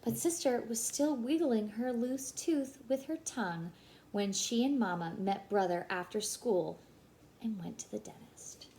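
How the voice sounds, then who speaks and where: A woman reading a children's story aloud in an expressive, animated way, pausing for emphasis. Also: a little high, slight background noise, rough recording.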